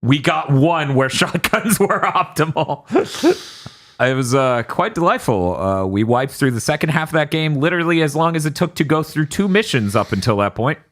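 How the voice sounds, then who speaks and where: A man co-hosting a casual gaming podcast, animated and swearing as he tells stories. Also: fairly high pitch, moderate pace. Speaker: podcast co-host.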